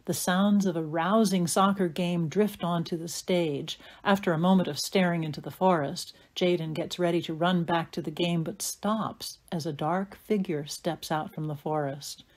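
Female narrator, slightly low register, scripted atmospheric storytelling for an audio play. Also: steady tone, normal speed, few pauses.

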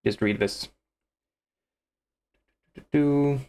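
A man streams a video game and talks off the cuff in a casual, chatty way. His voice sits at a middle pitch. There is faint noise and game ambience behind him. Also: drawn-out words, warm tone. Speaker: live streamer.

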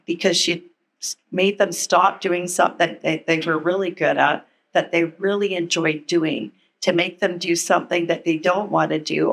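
An older woman and former educator giving an earnest, measured comment at a panel, speaking into a handheld mic in a large meeting room. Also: on the low side, vocal fry, a bit bright, slightly rough. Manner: earnest, measured, thinking aloud.

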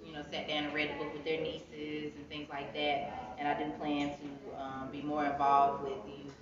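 A woman's voice: low-pitched, monotone, moderate pace. A young American woman talking casually and reflectively as an interviewed author, recorded from a few feet away in an indoor gallery. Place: indoor art gallery, seated against wall. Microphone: phone camera several feet away. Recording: echoey room, distant mic, dull sound, crowd noise.

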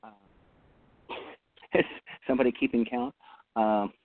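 A man's voice: medium pitch, fairly even intonation, slow, background noise. A man on a talk show panel, hesitant and filling his talk with many uhs and restarts. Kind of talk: casual panel chat. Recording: dry tinny phone-line audio.

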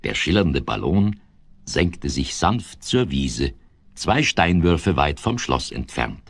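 A man's voice: extremely low pitch, sing-song, takes it slowly, breathy edge, no room sound, exaggerated voice. A man narrating a children's audio play as a scripted storyteller.

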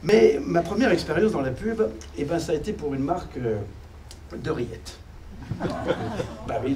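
A middle-aged man doing musical stand-up comedy on a small theater stage, chatty and self-mocking as he plays a keyboard. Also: fairly high pitch. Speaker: comedian and pianist. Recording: echoey theater, distant.

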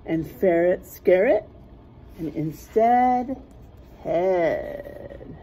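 A middle-aged woman reading a rhyming picture book aloud in a teacherly way, pausing to point out word pairs. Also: lowish voice, lively intonation, warm tone, slight background noise, rough recording. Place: small classroom, indoors.

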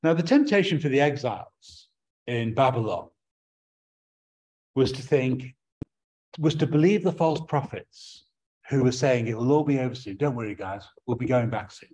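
A man's voice: mid-range voice, expressive, normal speed, long pauses, darkish tone, rough recording. A middle-aged man with an English accent preaching a sermon from a small room at home, earnest and prone to restarting his words.

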